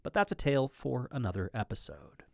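A man hosting and narrating a podcast in a measured, slightly wry scripted style. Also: mid-range voice, huge pitch swings, gravelly, a little mellow, no echo, studio quality.

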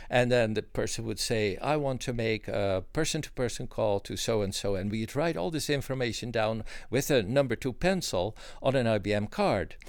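A man's voice: mid-range voice, dry recording. Older man, plain mid voice, dry close sound, rambling reminiscence. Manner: reminiscing, detailed, frequent uh fillers. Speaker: interviewee, former switchboard operator. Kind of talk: conversational storytelling.

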